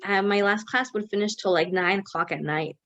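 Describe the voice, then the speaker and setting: A woman's voice: medium pitch, brisk, fairly bright tone, lo-fi sound. A young woman the United States, talking casually as a guest in an interview, rambling with many fillers and restarts and dropping in Spanish words. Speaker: guest, company co-founder. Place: Mexico City.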